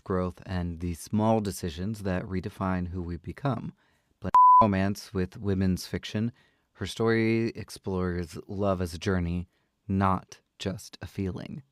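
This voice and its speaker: A man's voice: low-pitched, steady tone, a little slow, fairly bright tone, no echo, studio quality. A man hosting a book reading show, introducing books and reading blurbs with some theatrical flourish.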